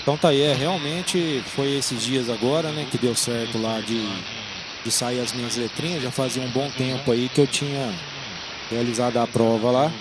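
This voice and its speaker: A man's voice: medium pitch, fairly even intonation, normal speed. A Brazilian man, a newcomer amateur radio operator, chatting casually as he checks in over a radio transmission. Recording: noisy ham radio transmission.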